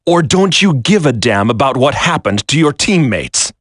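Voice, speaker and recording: An actor playing a grave military commander turned traitor in a video game, solemn, deliberate and resigned to fate. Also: male voice, mid-range voice, big pitch swings, fairly bright tone, no room sound, studio quality. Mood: stern, challenging. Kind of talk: dramatic scripted video game acting.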